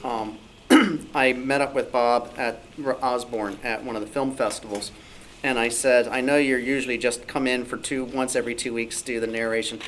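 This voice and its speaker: A man with a mid-pitched voice tells an informal anecdote at a club meeting, quoting the people in it. The live recording carries some faint background noise. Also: steady tone, moderate pace, fairly bright tone, rough recording. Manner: storytelling, quotes dialogue.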